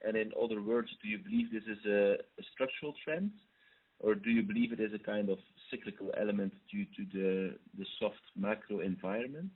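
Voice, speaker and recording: A man's voice: on the low side, animated, fairly quick, slightly rough. A man calling in as a financial analyst, politely putting structured questions on a conference call. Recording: narrow muffled phone line.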